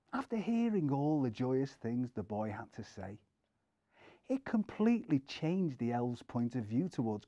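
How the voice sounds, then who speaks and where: Middle aged guy reading a kids story, soft mellow voice, really dry recording. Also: mid-range voice, no room sound, muffled recording. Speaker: story reader. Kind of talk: expressive storytelling narration for children.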